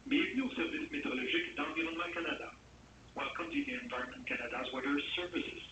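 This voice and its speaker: A man's voice: fairly high pitch, fast talker. A man giving a formal recorded phone menu greeting over a telephone line. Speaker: automated phone menu announcer. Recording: noisy echoey distant phone line.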